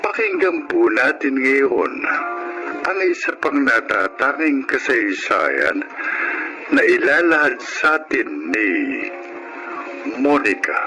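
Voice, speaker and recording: A man's voice: medium pitch, huge pitch swings, takes it slowly, poor audio. A man reading formal scripted announcements as the host of a radio drama programme. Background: music under the voice.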